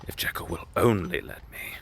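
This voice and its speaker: An actor playing a grave, troubled middle-aged gentleman lawyer in an audio drama, speaking formally and haltingly as he broods. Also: natural voice. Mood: anxious, resolute. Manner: brooding, halting, formal old-fashioned speech.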